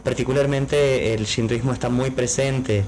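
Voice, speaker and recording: A man's voice: medium pitch, steady tone, moderate pace, a little mellow, a little hiss or hum. A man co-hosting a casual podcast, explaining at length with many fillers. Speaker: podcast co-host.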